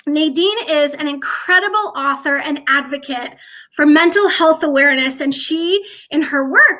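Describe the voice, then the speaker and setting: Super bubbly lady hosting a video call stream, high bright voice. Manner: bubbly, effusive. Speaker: host. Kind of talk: enthusiastic livestream hosting.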